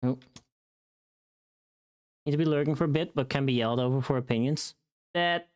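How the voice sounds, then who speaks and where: A streamer of mid-range pitch chatting casually and muttering to themselves while working, often repeating words. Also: normal speed, darkish tone.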